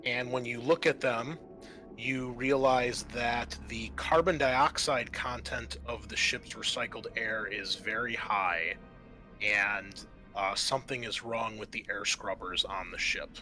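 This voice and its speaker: Bright-voiced man running a tabletop game. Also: medium pitch, fairly even intonation, soft background music. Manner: halting, pausing mid-sentence. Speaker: game master. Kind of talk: improvised tabletop game narration.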